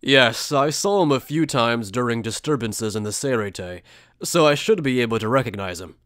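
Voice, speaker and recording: A man's voice: medium pitch, expressive, moderate pace, fairly bright tone, no room sound, studio quality, natural voice. A man playing a polite, earnest, easily flustered young male journalist warrior in an anime fan drama. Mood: curious, earnest. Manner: hesitant, polite.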